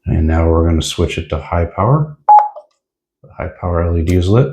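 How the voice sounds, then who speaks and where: Low-pitched, mellow man reviewing gear. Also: fairly quick. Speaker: product reviewer. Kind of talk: casual hands-on gear review.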